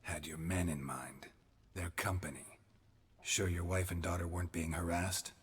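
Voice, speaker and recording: An actor playing a terse, world-weary monster hunter in a video game, with a gravelly, breathy voice and clipped deadpan delivery. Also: male voice, low voice, moderate pace, long reverb tail. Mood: pointed. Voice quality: very husky, gravelly near-whisper. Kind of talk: understated video game acting.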